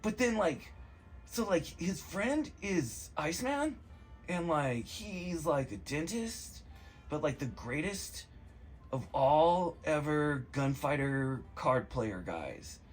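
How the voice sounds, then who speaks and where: A man giving a rambling, casual comedic movie recap, slangy and profane, excitable and meandering in his storytelling. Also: on the high side, slow pace, soft background music.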